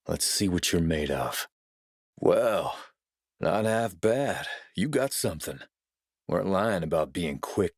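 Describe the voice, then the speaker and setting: A man playing a ruthless, middle-aged militia warlord in a video game, with a rough husky voice and a commanding, threatening manner. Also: sits low, dry recording, studio quality, exaggerated voice. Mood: stern, grudging approval. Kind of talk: scripted video game character lines.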